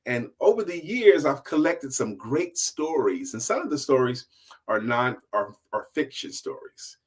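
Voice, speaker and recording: A man's voice: mid-range voice, big pitch swings, unhurried, slightly rough. Male storyteller, middle-aged. Conversational and emphatic, playing to listeners, acting out bits of dialogue. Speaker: storyteller and sales coach. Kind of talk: casual storytelling to an audience.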